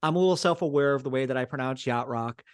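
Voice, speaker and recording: A man's voice: medium pitch, fast talker, smooth, no room sound, studio quality. A man co-hosting a casual podcast who jokes at his own expense and laughs at his own lines. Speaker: podcast co-host.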